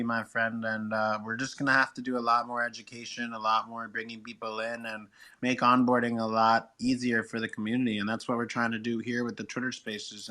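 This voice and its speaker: A man's voice: mid-range voice, sharp tone. A man hosting a casual live online community talk in an encouraging, slangy, upbeat way. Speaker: host. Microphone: live online audio room.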